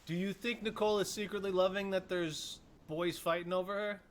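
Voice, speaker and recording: A man's voice: high-pitched, fairly even intonation, a little fast. A man co-hosting a podcast, a speech coach, asking playful, probing questions in casual chat.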